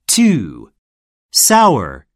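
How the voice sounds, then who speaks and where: A woman reading a scripted textbook listening drill, saying words slowly and deliberately for learners to repeat. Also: extremely low pitch, huge pitch swings, a little mellow, no room sound, clean studio sound. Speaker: lesson narrator.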